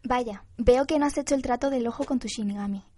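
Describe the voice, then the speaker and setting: A woman's voice: a little high, fairly quick, mellow, breathy edge. An actress playing an eager, devoted, reckless young woman in a radio drama.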